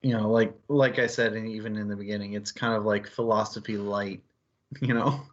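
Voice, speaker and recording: Male book club co-host, low and fairly even voice, rambling casual delivery, slightly dull stream audio. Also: fairly quick. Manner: rambling, conversational, peppered with "like" and "you know". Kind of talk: casual book discussion chat.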